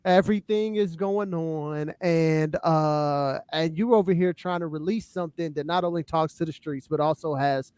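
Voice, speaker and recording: A man's voice: fairly high pitch, moderate pace, few pauses, darkish tone, clear, resonant tone, no room sound. A man co-hosting a podcast, casually debating hip-hop in an emphatic, explanatory way and checking in with short tag questions. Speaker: podcast co-host.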